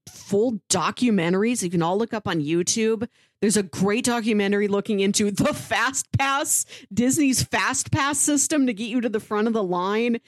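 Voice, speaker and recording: A woman's voice: fairly high pitch, no room sound. A woman co-hosting a podcast, giving recommendations in a chatty, informal way with casual asides. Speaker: podcast co-host.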